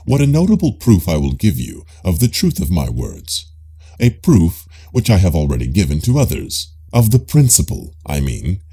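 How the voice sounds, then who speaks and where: A very dark, gravelly voice from a man acting out a pious, self-assured Greek man in an audiobook dialogue. He declaims pompously, eager to prove himself, with pitch that swings widely through each phrase. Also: exaggerated voice. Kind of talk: dramatised audiobook reading of philosophical dialogue.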